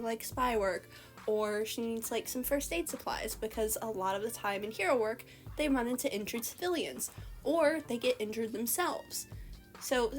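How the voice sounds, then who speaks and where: A young woman giving casual, rambling voice-over commentary on a costume redesign, her voice slightly hoarse from a recent illness. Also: a little high. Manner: chatty, rambling, many "like" fillers. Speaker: artist commenting on a character redesign. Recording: voice-over with faint background music.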